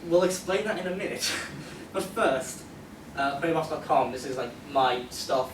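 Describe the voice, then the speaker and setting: A man's voice: a little high, animated, normal speed. A man giving a casual convention panel presentation in an informal, self-deprecating way. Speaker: panellist, website developer. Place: convention panel room indoors. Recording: echoey, distant, noisy room.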